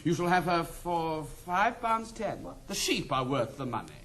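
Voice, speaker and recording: An actor playing a carefree, spendthrift young English gentleman in classic stage comedy, with a flamboyant, jaunty delivery. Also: a little high, big pitch swings, a little slow, a little hiss or hum. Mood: mock salesmanship.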